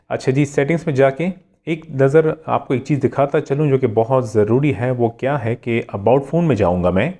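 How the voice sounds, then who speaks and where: A man's voice: medium pitch, dark tone. A man hosting a tech tutorial, narrating step by step in a polite, instructional way and repeating key steps.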